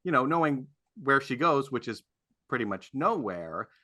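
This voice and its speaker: A man in casual conversation as a panelist, speaking haltingly as he circles toward his point. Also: medium pitch, sing-song, bright, forceful tone. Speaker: podcast panelist. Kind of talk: casual chat.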